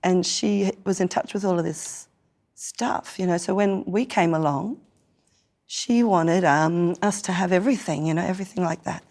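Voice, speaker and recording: An Australian woman from Newcastle, hoarse and croaky after singing sick the night before, telling stories playfully in a casual interview. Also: lowish voice, normal speed, a little mellow. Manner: playful, theatrical storyteller with frequent laughs. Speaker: guest performer.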